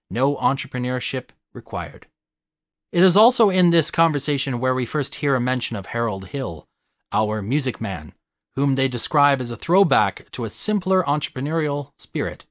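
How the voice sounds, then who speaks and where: A man reading a scripted, analytical video essay in a measured, articulate way, stressing key words. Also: mid-range voice, animated, no room sound, clean studio sound.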